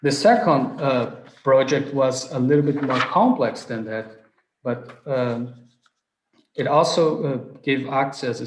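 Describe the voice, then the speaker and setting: A man's voice: mid-range voice, creaky. Hesitant Brazilian-born man lecturing on a video call. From southern Brazil. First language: Portuguese. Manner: earnest, many hesitant uhs and restarts. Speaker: award lecturer, chemist. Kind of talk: online award lecture. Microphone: video call webcam. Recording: roomy video call audio.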